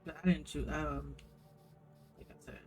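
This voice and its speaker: A man's voice: a little high, level tone, measured pace, darkish tone, soft background music. A man giving casual gameplay commentary as he plays. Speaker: gamer playing on camera.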